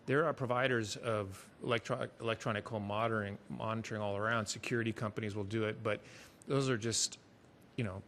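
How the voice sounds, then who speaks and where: A city staff member explaining a program at a committee meeting, with fillers and restarts. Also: male voice, fairly low pitch, fairly bright tone, a little hiss or hum. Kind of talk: meeting discussion. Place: city council meeting room.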